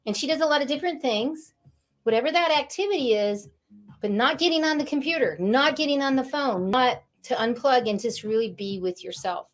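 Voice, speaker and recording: A woman's voice: high voice, brisk. A woman hosting an online interview over a video call, gushing and enthusiastic, often repeating herself. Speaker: host. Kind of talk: upbeat online interview chat.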